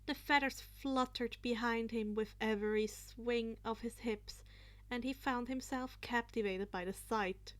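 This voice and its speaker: Woman, fan fiction reader, high voice, unhurried pace, expressive narration.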